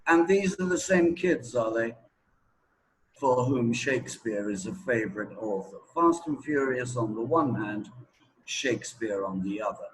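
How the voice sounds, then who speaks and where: Slow-talking opinionated man in an interview. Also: mid-range voice, expressive, a little hiss or hum, low-quality recording. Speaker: English teaching expert being interviewed. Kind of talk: conversational interview answers.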